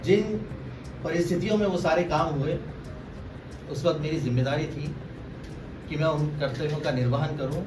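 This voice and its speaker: Echoey, higher-voiced man giving deliberate statement. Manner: deliberate, emphatic, repeats phrases for stress. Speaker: former political aide making a public statement. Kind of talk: press conference statement. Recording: distant mic, echoey room, clearly noisy.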